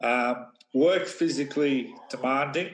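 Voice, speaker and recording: A man taking part in a casual group call, plain-spoken and a little halting in his phrasing. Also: medium pitch. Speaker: program participant. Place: live online video call. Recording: roomy, echoey video-call sound.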